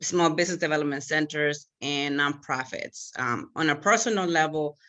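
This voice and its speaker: A woman's voice: deep voice, normal speed, a bit bright. A middle-aged woman from El Salvador formally introducing herself and her work as a panelist. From born in El Salvador, immigrated to the United States. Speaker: panelist, consulting business owner.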